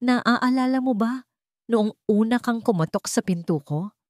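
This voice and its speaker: A woman's voice: fairly high pitch, lively intonation, unhurried, a little mellow, no echo, clean studio sound, voice pushed up. A Filipino woman voicing a devoted, playful man in love within a romantic story narration. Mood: playful, teasing. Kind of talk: acted dialogue in story narration.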